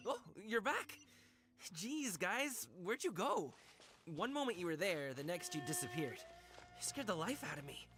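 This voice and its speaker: Lively, confident young guard. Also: female voice, lowish voice, unhurried, music in the background. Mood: relieved scolding. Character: easygoing, confident young female escort guard. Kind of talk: video game voice acting.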